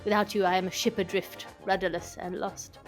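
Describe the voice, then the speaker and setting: A woman's voice: medium pitch, flat delivery, a little fast, faint music behind, lightly acted. A woman voicing a lovestruck male sea captain in a romance audiobook, pleading in florid, impassioned style. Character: lovestruck, broad-shouldered sea captain declaring himself, romance hero.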